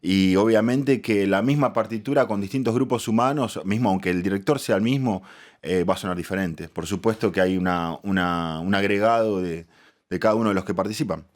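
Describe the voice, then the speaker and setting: A man, a musician interviewed as a guest, explaining in measured, articulate, rather formal wording. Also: lowish voice. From Argentina.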